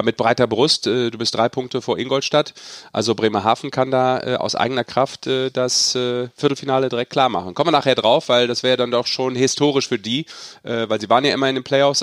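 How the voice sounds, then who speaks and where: A man's voice: medium pitch, dry recording, studio quality. A man hosting a sports podcast in a casual, joking, self-ironic chat style. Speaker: podcast host.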